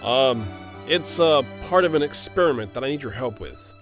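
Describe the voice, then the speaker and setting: A man's voice: mid-range voice, lively intonation, normal speed, a bit bright, faint music behind, lightly acted. A man playing a proud, stubborn echidna guardian in an audio drama, with a defensive manner. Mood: evasive.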